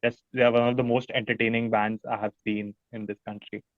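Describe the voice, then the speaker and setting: A man's voice: mid-range voice, little pitch movement, a little fast, no room sound. Indian guy musician, casual keen chat, dry muffled lo-fi sound. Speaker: musician, interview guest. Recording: dull, lo-fi audio.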